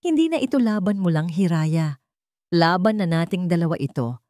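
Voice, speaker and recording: A woman's voice: fairly low pitch, animated, warm tone, no echo, clean studio sound, lightly acted. A woman voicing a determined, protective doctor in a dramatic story, speaking firmly and earnestly. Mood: resolute. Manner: firm, earnest, deliberate.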